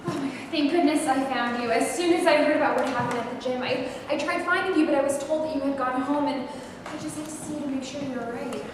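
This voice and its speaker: A woman's voice: high-pitched, fast talker, natural voice. A woman playing an earnest, devoted teenage schoolgirl in a staged play reading. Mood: relieved, anxious. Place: live staged reading in a hall. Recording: echoey hall, distant mic, clear noise.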